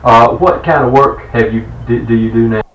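A man's voice: mid-range voice, normal speed, dull audio, background noise. A man interviewing, asking questions with fillers and restarts. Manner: hesitant, many fillers and restarts as he asks. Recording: roomy, from far off the mic.

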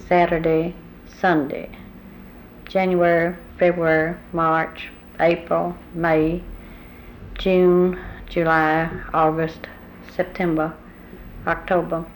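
Slow, low-voiced older woman. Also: poor audio. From Southern United States. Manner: unhurried, recalls family history with pauses. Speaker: oral history interviewee. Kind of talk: recorded oral history interview. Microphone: tape recorder microphone. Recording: old tape recording with faint hiss.

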